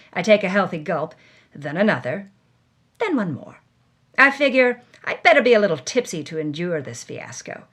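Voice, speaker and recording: A wry, animated noir heroine. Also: female voice, medium pitch. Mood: wry, tense. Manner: wry, dramatic, emphatic. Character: hard-bitten, wry, world-weary woman reading her journal. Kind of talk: scripted first-person noir narration, audio drama.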